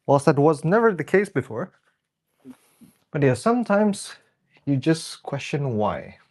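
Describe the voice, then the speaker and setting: A man's voice: fairly high pitch, sing-song, measured pace, a little mellow. A young man with the sniffles, hosting a casual livestream while he works and chatting to viewers as they come in. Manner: chatty, greets viewers by name. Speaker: livestream host. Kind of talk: casual live-stream chat while working.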